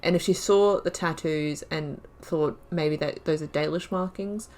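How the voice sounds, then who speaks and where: A woman's voice: low-pitched, measured pace, a little mellow. A young woman giving casual let's-play commentary, chatting and thinking aloud about her choices in the story. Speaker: gaming video creator.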